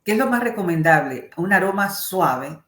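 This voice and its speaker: Woman interviewer, lowish pitch, conversational questions, very roomy echoing sound. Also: expressive, normal speed. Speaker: interview host.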